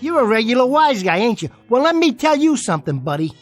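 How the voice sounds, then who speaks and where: High-pitched, bitter little American tough guy. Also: sing-song, quick pace. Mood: belligerent. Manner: streetwise slangy tough talk, defiant. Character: bitter, boastful small man, a disgraced jockey. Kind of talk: radio drama acting. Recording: music behind the voice.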